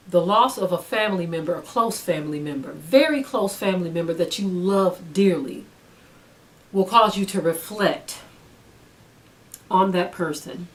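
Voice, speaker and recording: A middle-aged woman giving informal spiritual teaching in an earnest, deliberate manner, pausing between short phrases. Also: fairly low pitch. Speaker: religious teacher.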